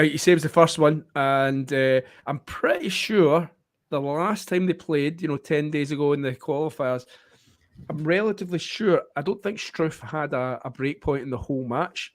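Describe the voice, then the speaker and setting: A man's voice: mid-range voice, no echo. A Scottish man giving chatty live play-by-play tennis commentary for an online watchalong, with friendly asides to his co-host. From Scotland.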